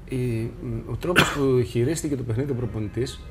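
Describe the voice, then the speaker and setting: A man's voice: mid-range voice, heavy background noise. A man speaking as a football pundit on a studio panel, building his points with repeated restarts.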